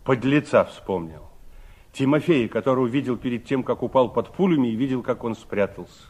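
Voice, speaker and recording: A wistful, expressive ageing veteran. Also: male voice, mid-range voice, brisk, breathy edge. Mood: bitter, reminiscing. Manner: theatrical, emphatic, reflective. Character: ageing revolutionary veteran, wistful, kindly uncle. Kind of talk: 1960s Soviet radio play monologue and dialogue.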